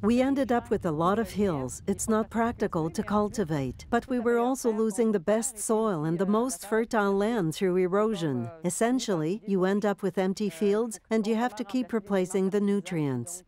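A woman speaking a scripted translated voice-over in a calm, explanatory way. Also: medium pitch, moderate pace, few pauses. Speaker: voice-over interpreter.

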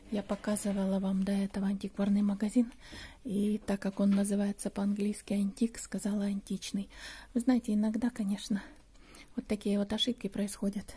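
A middle-aged woman from Russia, chatting as a vlogger while she walks through shops, often speaking softly, almost in a whisper. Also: mid-range voice. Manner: soft, often near-whispered commentary while browsing. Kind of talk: chatty walking vlog narration.

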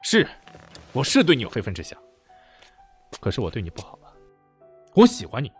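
A lovestruck young man played by an actor in an audio drama, indulgent and full of feeling. His medium-pitched voice moves very freely up and down, with faint music behind it. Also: wildly swooping pitch, brisk, slightly rough. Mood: anguished confession. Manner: indulgent, coaxing, emotional. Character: doting, lovestruck young man, patient until hurt.

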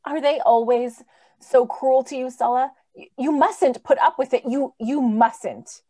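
Female, playing principled lady visitor in vintage radio drama, high voice, earnest, readily indignant. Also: measured pace, mellow, no room sound, studio quality. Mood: pleading, outraged. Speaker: actor. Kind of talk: old-time radio drama acting. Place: indoors on a video call.

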